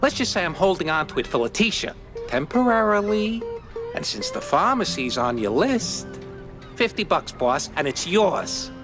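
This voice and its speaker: An actor playing a sly, ingratiating small-time hustler sidekick in a cartoon noir game. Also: male voice, high-pitched, big pitch swings, moderate pace, music in the background. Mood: sly, wheedling. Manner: wheedling, ingratiating.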